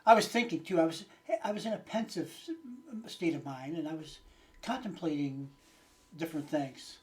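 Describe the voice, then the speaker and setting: A man's voice: on the high side, normal speed. Rambling, folksy man in his late sixties. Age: late 60s. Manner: rambling, folksy, hesitant phrasing. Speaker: video channel host. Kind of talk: casual unscripted chat to viewers.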